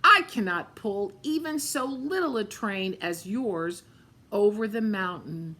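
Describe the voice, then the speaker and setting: A woman's voice: mid-range voice, lively intonation, slow pace, pushed and bright, full character voice. A woman voicing a tired, rusty old male engine in a storybook, sighing and drawn out. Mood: weary.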